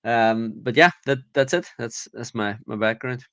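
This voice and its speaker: A man's voice: medium pitch, normal speed, fairly bright tone, dry recording. A man with an Irish accent, guesting on a podcast and chatting casually with many fillers and restarts. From Ireland. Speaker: podcast guest, conference founder.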